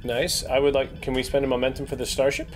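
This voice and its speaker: A man's voice: medium pitch, level tone, quick pace, soft background music. A man chatting casually as a player in a tabletop game. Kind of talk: casual chat.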